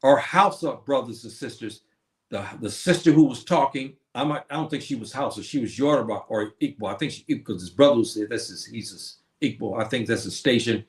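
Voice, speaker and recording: A man's voice: mid-range voice, fairly quick, echoey room. A man hosting a stream, lecturing on history in a preachy way and posing questions that he answers himself. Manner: preachy, asks and answers his own questions. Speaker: host. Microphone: streaming setup.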